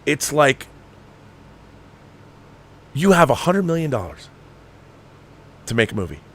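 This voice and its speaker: A man delivering an unscripted film rant as a show host, emphatic, exasperated and profane, repeating phrases for emphasis. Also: medium pitch. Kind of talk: ranting film commentary, unscripted.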